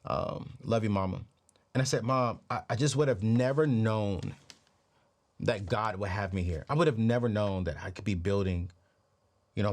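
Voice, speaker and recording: Man, late thirties, show host, motivational, preachy, emphatic repetition. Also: on the low side. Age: 30s. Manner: preachy, energetic, repeats phrases. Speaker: podcast host. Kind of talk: motivational personal finance talk.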